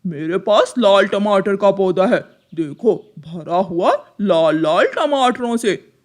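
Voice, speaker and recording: Woman voicing a brash lazy cartoon crow, swooping pitch. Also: lively intonation, slow. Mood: rude, irritated. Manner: brash, dismissive, sing-song boasting. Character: lazy, rude, boastful male crow. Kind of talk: Hindi children's cartoon voice acting.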